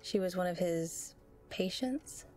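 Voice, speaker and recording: A woman acts a composed interviewer in an audio drama, gently probing in measured phrases. Her voice sits low, with faint music underneath. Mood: gentle, probing. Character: calm, probing female interviewer coaxing a survivor. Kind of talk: dramatic audio roleplay acting.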